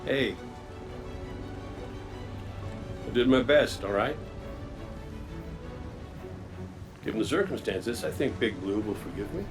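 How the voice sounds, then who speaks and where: A man's voice: lowish voice, lively intonation, fairly quick, close to an everyday voice. A man voicing a wisecracking renegade robot gunslinger in a video game, talking in a casual, wry, folksy way. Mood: gently reassuring. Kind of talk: video game cutscene acting. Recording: roomy echo, loud music behind.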